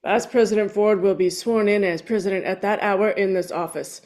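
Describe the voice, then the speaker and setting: A woman with a medium-pitched voice reads from a film script. She works as a narrator at a table read, giving the scripted lines aloud. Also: fairly even intonation, moderate pace, darkish tone.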